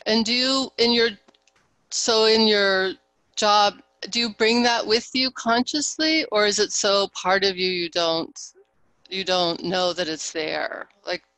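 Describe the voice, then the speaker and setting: A woman's voice: medium pitch, slow, a bit bright, no echo, poor audio. A middle-aged woman hosting an interview on a video call, asking encouraging questions. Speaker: podcast host. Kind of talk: interview host. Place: home office, indoors.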